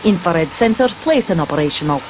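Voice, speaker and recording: A woman's voice: on the low side, lively intonation, fast talker, noisy background, close to an everyday voice. An actress playing a tough, fiercely loyal young Russian woman soldier, defiant and clipped, with a Russian accent, in a dramatic video game scene. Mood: businesslike.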